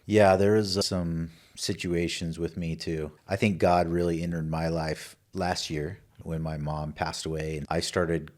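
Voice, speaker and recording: A man's voice: low voice, little pitch movement, a little slow, hardly any pauses, darkish tone. A middle-aged American man hosting a casual podcast conversation, speaking in an earnest, personal and informal way. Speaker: podcast host.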